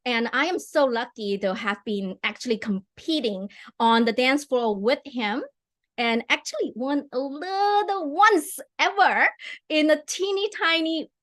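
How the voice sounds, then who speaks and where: A woman's voice: sits high, a little slow, a bit bright. A middle-aged woman hosting a live online interview over a video call, chatty and enthusiastic, with many fillers and restarts. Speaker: host.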